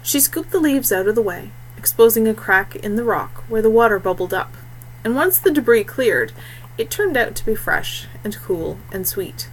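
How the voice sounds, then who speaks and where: A woman's voice: a little high, sing-song, normal speed, a little hiss or hum, poor audio. A woman reading a fantasy story aloud as scripted storybook narration.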